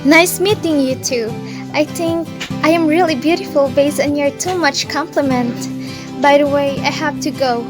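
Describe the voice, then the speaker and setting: A woman's voice: sits high, normal speed, music in the background, lightly acted. A woman playing a sweet, polite young maiden in love in an amateur radio drama. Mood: flattered.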